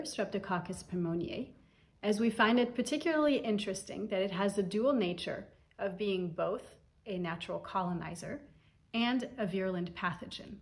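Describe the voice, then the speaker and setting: A woman, a lab head, giving a scripted scientific narration about her research. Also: medium pitch.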